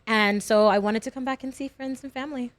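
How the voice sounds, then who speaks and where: A woman's voice: a little high, steady tone, a little fast. Young woman chatting as podcast guest. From Hawaii. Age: young adult. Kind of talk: casual conversational chat.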